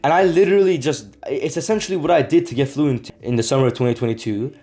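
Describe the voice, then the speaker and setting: A man's voice: mid-range voice, quick pace, mellow. Earnest young man explaining language learning. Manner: earnest, repetitive, lots of "like" and "right?". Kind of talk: casual explainer vlog.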